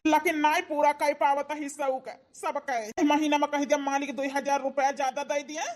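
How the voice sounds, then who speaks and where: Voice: high-pitched, lightly acted. A performer voicing a hard-working, put-upon poor village husband in a comedy cartoon, pleading, with an Awadhi accent. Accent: Awadhi, eastern Uttar Pradesh. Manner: pleading, long-suffering.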